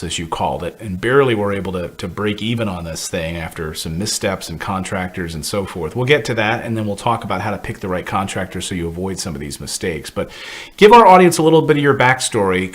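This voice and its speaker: Upbeat low-voiced male show host. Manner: energetic, plugs channel, jokes about names. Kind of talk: upbeat interview chat.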